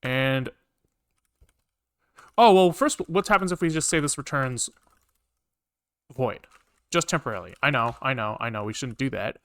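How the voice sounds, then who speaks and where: A man's voice: mid-range voice, normal speed, pauses often, a bit bright, dry recording. A man livestreaming some programming, casually thinking aloud, muttering to himself, repeating phrases and swearing now and then. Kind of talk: casual live coding commentary, thinking aloud. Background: computer keyboard typing and clicking.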